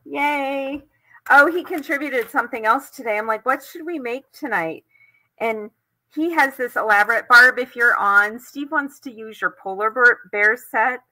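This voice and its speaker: A woman's voice: a little high, normal speed, sharp tone, poor audio. An older woman with an American accent hosting a craft tutorial live from home, chatting casually as she demonstrates. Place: indoors at home.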